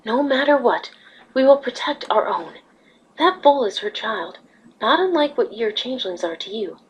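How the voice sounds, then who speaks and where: Woman playing motherly pony ruler, highish husky voice, patient, earnest, storybook fantasy. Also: animated, normal speed, lo-fi sound. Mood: earnest teaching. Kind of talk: character reading of fan fiction.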